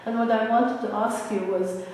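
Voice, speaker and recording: A woman's voice: a little high, steady tone, moderate pace, darkish tone. A woman in the audience asking a long, earnest question at a university talk. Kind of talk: question from the floor. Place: university lecture hall. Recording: echoey hall from far off, faint noise.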